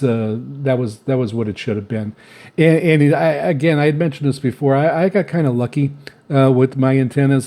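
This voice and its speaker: Mellow-voiced man giving a halting gear review. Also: mid-range voice. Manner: halting, repetitive, stammering delivery with frequent filled pauses. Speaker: video host reviewing radio gear. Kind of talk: casual unscripted product review.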